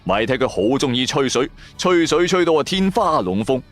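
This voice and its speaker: A man's voice: mid-range voice, big pitch swings, moderate pace. A man with a Cantonese accent narrating a first-person audio drama in a colloquial, theatrical storytelling style. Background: background music.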